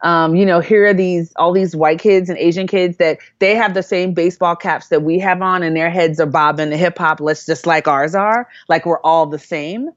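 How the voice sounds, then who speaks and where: A woman's voice: on the low side, steady tone, moderate pace, few pauses, no echo, dull audio. A woman from Jamaica, a guest in an interview, talking earnestly and thoughtfully with many fillers and restarts. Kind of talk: reflective conversational storytelling.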